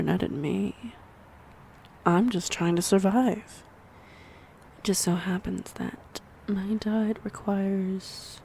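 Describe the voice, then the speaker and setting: A woman playing a snide, predatory vampire in an audio roleplay, mocking and teasing, with a breathy voice that slips into whispers. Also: fairly low pitch, dark tone, slight background noise. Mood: gloating, sinister. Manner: mocking, teasing, theatrically menacing. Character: snide, predatory female vampire toying with prey. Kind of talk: audio roleplay acting addressed to the listener.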